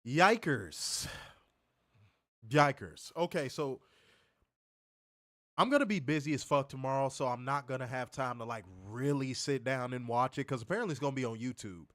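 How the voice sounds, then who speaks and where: A man's voice: mid-range voice, normal speed, a bit bright. A man co-hosting a wrestling review show, ranting bluntly and profanely while stuffed up with a cold. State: congested, stuffed up. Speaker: podcast co-host.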